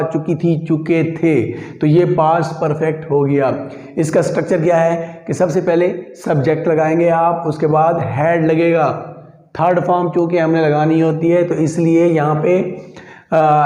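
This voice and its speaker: A man's voice: a little high, darkish tone. A man with a Pakistani accent giving a methodical, repetitive step-by-step grammar lecture to online students. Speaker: English grammar teacher. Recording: echoey room.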